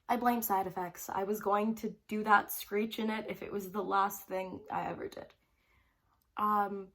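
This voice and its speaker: A woman's voice: medium pitch, fairly bright tone. Bubbly, rambling teenage girl. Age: teens. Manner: bubbly and rambling, full of "like" fillers; giggly, laughs often between phrases. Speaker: vlogger. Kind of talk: casual storytelling to camera.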